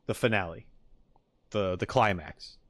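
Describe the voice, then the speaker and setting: Guy streamer w a lowish voice just chatting, kinda hesitant, thinking out loud. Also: unhurried. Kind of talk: casual chat.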